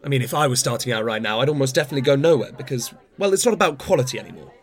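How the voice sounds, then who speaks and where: An actor playing a self-absorbed older playwright in a radio play, garrulous, wry and opinionated, in naturalistic dialogue. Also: male voice, mid-range voice, expressive, rapid-fire, natural voice. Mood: opinionated, patronising. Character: self-absorbed older male playwright, rambling, grumbling, patronising.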